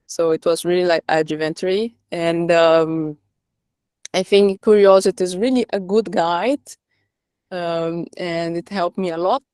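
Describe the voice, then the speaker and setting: A woman's voice: fairly low pitch, darkish tone, smooth, no echo, clean studio sound. A woman from Brazil, giving an academic talk, hesitant and full of restarts and tag questions. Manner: hesitant, many restarts and "right?" tags. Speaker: speaker.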